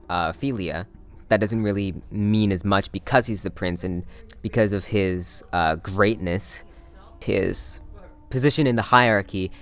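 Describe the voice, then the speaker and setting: A man casually talking through a character from a play, hesitant and thinking aloud as he goes. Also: fairly low pitch. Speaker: actor discussing a play.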